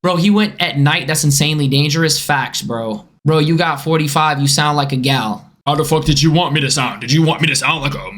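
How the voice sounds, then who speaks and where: Young man streamer, high boyish voice, animated reaction talk. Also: brisk, few pauses. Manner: animated, swearing, lecturing. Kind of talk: casual live-stream reaction.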